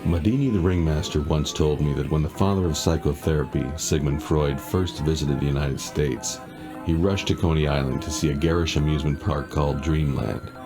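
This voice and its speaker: A brooding grown man, haunted by his past. His voice is exceptionally low, narrating in a measured, dramatic first-person style. Loud music runs underneath. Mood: brooding, wistful.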